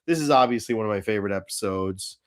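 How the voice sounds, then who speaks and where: A man's voice: fairly low pitch, fast talker. A man hosting a live fan show, chatting casually about cartoons in a blunt, irreverent way with some swearing.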